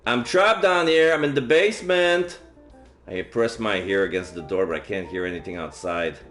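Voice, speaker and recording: A man's voice: lowish voice, normal speed, fairly bright tone. A man streaming a visual novel, reading its story aloud with dramatic delivery and offhand casual asides. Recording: game music faintly behind. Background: video game music.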